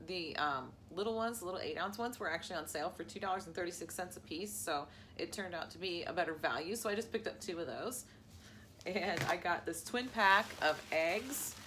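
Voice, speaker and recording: A woman's voice: on the low side, sharp tone, a little hiss or hum. Chatty woman vlogging from her kitchen. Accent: American. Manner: chatty, laughs often, lists prices as she goes. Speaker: lifestyle vlogger. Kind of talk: casual grocery haul vlog. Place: home kitchen, indoors. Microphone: camera at arm's length.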